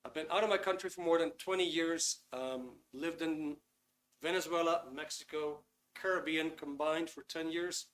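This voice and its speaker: A Dutch man hosts a live online workshop over a computer mic. His voice sits a little high for a man. He talks in a loose, friendly way and fills his pauses with ums. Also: fairly even intonation, fairly bright tone, poor audio. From Netherlands. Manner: chatty, reads out chat comments, frequent ums. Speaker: webinar host. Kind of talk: casual live webinar talk.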